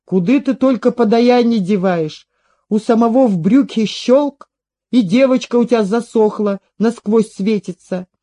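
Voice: medium pitch, measured pace, mellow, lightly acted. A performer voicing a teasing peasant householder with rustic speech in a story reading. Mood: mocking. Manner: rustic, teasing, feigned surprise. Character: mocking peasant man, master of the hut.

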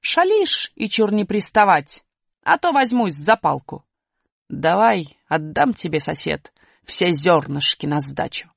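A woman's voice: lowish voice, sing-song, measured pace, gravelly, no room sound, clean studio sound, heavily acted. Woman growling as a gloating mustached spoiler man. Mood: declaiming. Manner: jeering, sly, crowing. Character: mocking mustached male spoiler, gloating trickster.

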